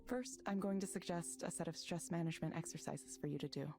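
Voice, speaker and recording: An actress playing a composed AI counseling program in a video game, speaking in a measured, polite, clinical way. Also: female voice, fairly low pitch, music in the background, lightly acted. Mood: calm, clinical. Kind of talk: visual novel game voice acting.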